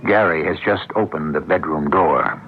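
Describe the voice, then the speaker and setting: A man hosting and narrating a radio drama in a measured, theatrical, rhetorical style. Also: rumbling low. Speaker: host and narrator. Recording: tinny old radio broadcast, music behind. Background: clear music.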